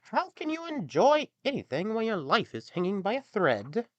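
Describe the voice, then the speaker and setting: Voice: mid-range voice, huge pitch swings, normal speed, no echo, muffled recording, voice pushed up. A man voicing a gloomy, indignant aging cat in a storybook tale, complaining as it talks. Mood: gloomy, aggrieved. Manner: complaining, aggrieved.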